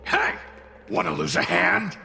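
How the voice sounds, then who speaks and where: A man's voice: high voice, huge pitch swings, measured pace, vocal fry, heavily acted. An actor playing a brash, mischievous male fox-tail spirit in a video game, boastful and protective, with a theatrical, sardonic, mocking manner. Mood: impatient, goading. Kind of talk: animated video game voice acting. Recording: voice over loud game music. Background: game music.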